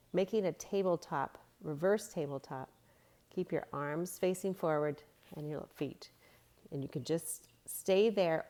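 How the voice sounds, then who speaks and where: A woman reading a storybook aloud as a children's yoga teacher, giving simple, gentle guiding instructions. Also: on the low side.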